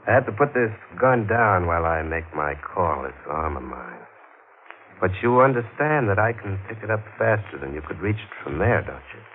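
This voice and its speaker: A man's voice: low voice, sing-song, normal speed. A male actor with an American accent playing a menacing, injured gunman in an old-time radio thriller, curt, commanding and sardonic. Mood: taunting, controlled. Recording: muffled, noisy old radio recording.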